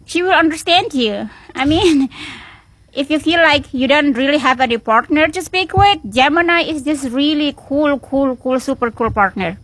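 A woman's voice: high-pitched, lively intonation, moderate pace, a bit bright, no room sound, rough recording. A woman radio host, chatting casually and encouragingly with a guest, often restarting her sentences. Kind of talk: casual on-air chat with a guest.

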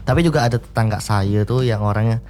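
A man from Pontianak with a Pontianak Malay accent, a radio guest talking in a relaxed, hesitant way and laughing easily. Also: lowish voice, fast, slight background noise. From Pontianak, Indonesia. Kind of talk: casual radio chat.

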